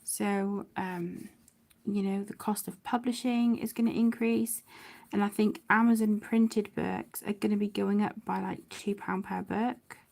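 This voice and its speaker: A middle-aged woman chatting casually on a livestream, affectionate and apologetic, with phrases that trail off softly. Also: mid-range voice, steady tone, a little slow, vocal fry. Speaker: livestream host of an adult colouring channel. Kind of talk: casual livestream chat while colouring.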